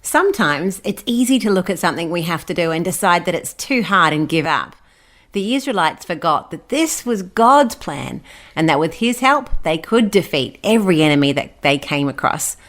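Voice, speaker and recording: A woman's voice: on the low side, expressive, normal speed, a bit bright. A woman with an Australian accent hosting and reading scripted stories for children, explaining things simply. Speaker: children's Bible story host.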